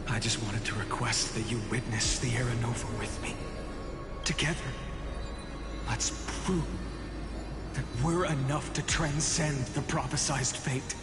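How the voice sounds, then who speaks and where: A man playing an earnest, gentle young hero in an anime game, solemn and expressive. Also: mid-range voice, slow, pauses often, close to an everyday voice. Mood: earnest, resolute. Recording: echoey reverb under loud music. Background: loud game music.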